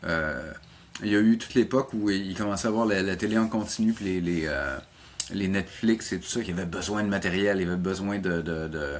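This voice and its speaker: A man's voice: low-pitched, fairly quick, a little hiss or hum. A middle-aged man with a Quebec French accent, chatting casually as an interview guest and often repeating words as he searches for his phrasing. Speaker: animation teacher and programme coordinator, interview guest.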